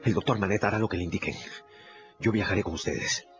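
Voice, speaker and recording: An actor playing a resolute, self-sacrificing lawyer in a radio drama, giving urgent orders with theatrical intensity. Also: male voice, deep voice, fast, close to an everyday voice. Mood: urgent, commanding. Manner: imperative, intense, theatrical delivery. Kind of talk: Spanish-language radio drama acting. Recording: dull radio recording with loud music.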